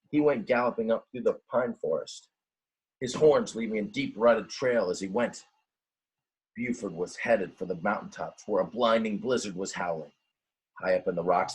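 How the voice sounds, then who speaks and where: A man reading a children's storybook aloud in a dramatic, expressive way, with simple explanatory asides for young listeners. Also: deep voice, husky, low-quality recording. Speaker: teacher reading a picture book aloud.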